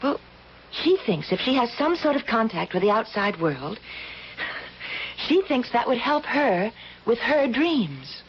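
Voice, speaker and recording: An actress playing a kind, earnest, trusting young working woman in a 1970s radio drama, gentle and eager in manner. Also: fairly high pitch, sing-song, unhurried. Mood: intrigued. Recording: muffled old radio broadcast with hiss.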